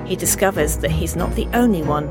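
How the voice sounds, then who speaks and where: Woman host introducing over loud music. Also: lowish voice, fairly quick. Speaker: podcast host and author. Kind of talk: scripted podcast introduction.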